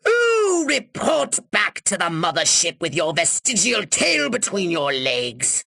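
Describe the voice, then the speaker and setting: A pompous alien commander from a video game, with a sharp, raspy voice that swoops theatrically. He schemes and scolds with excitable grandeur. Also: sits high, slow, dry recording, clean studio sound, full character voice. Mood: exasperated, scolding. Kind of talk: video game character voice lines.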